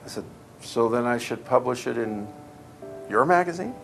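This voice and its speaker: A man's voice: medium pitch, expressive, normal speed, vocal fry, soft background music. A man recalling past events in a documentary interview, telling anecdotes and quoting remembered conversations.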